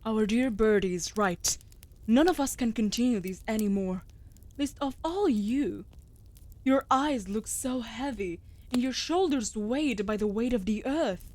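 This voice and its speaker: A woman voicing a female wolf familiar in a fantasy comfort audio drama, coaxing softly with dry, teasing banter. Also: on the high side, lively intonation, measured pace. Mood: caring, coaxing. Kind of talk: scripted comfort audio roleplay.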